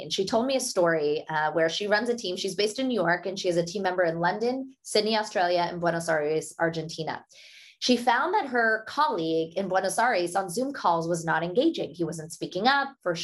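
A woman, an author being interviewed, giving upbeat conversational advice in short brisk points and laughing often as she explains. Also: on the low side.

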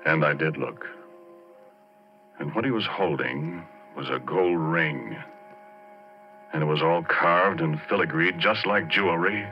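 A man playing a folksy working-class oil driller, telling an eerie tale in halting, ungrammatical speech as 1940s radio drama. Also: rumbling low, a little hiss or hum. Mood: uneasy, confiding. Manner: colloquial, ungrammatical, halting, confiding. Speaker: narrator and lead character of a radio drama. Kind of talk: 1940s radio drama first-person storytelling. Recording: dull 1940s radio recording with music.

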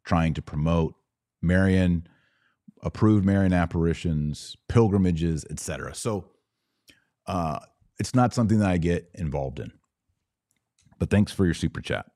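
Male podcast host, low-pitched and dry close sound, didactic, informal teaching delivery for viewer questions. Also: measured pace, long pauses, dark tone, no echo. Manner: didactic, explanatory, asks and answers own questions. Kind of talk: live Q&A, informal religious teaching.

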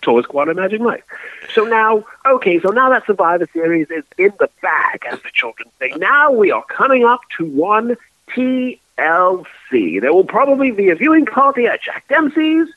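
Man, high voice, very animated, joking podcast co-host, tinny phone-like sound. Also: moderate pace, no echo. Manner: joking, theatrical exclamations. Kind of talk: casual wrestling chat.

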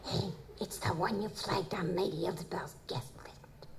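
Lady doing a demon receptionist, lots of fry, casual, noir radio play. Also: fairly low pitch, moderate pace, whispered. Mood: hushed, casual. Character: casual female secretary and bodyguard, demon. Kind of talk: noir audio drama dialogue.

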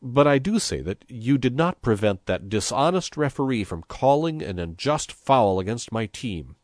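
Blustering village priest, played by a male narrator: storybook figure, hot-tempered, emphatic, wide swings in pitch, dry close sound. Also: sing-song, normal speed, no room sound, close to an everyday voice. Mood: indignant. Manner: bellowing, indignant, emphatic. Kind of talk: storybook character reading.

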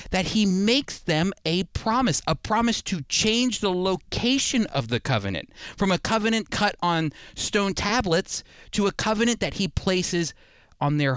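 Guy preaching a sermon, really lively up and down delivery, emphatic, talks right at you, dry sound. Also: high voice, clean tone, no room sound. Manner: emphatic, repeats key points for stress; direct address to listeners. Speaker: preacher and Bible teacher.

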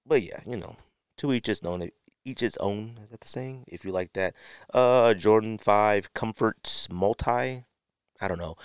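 A man's voice: lowish voice, smooth, dry recording, studio quality. A man hosting a podcast solo in a casual, rambling, slangy way, sniffling a little with a stuffy nose. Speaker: podcast host.

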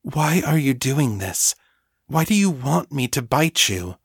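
A male narrator acts out a weary young vampire mistress in a dark fantasy story. The voice is soft and slightly hoarse. She sounds compassionate and uneasy, with measured, unhurried words. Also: medium pitch, fairly even intonation, dry recording, studio quality, full character voice. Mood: bewildered, dismayed. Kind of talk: audiobook character acting.

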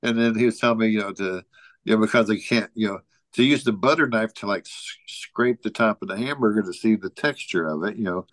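A man with a fairly low voice chats casually as a podcast co-host. He rambles through an anecdote, leaning on "you know" and often chuckling. The sound is very dry, with no room echo. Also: fairly quick, a bit bright. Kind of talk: casual chat, telling an anecdote.

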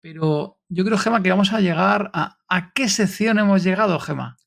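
A man's voice: high-pitched, moderate pace, gravelly, fairly bright tone. A man, co-hosting a podcast in a casual, friendly way and steering the conversation. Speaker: podcast co-host. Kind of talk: casual interview chat.

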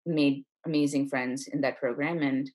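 A woman's voice: very deep voice, fairly even intonation, normal speed, darkish tone. A woman in her thirties from India, telling her story casually and chattily as an interview guest. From Chennai, India. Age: 30s. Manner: informal, chatty, often says "like".

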